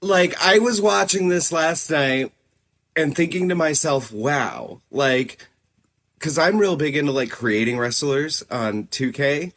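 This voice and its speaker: A man in his thirties co-hosting a podcast in casual chat, excitable and swearing, with lots of "like.". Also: a little high, slow pace. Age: 30s. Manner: excitable, swears, many likes. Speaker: podcast co-host.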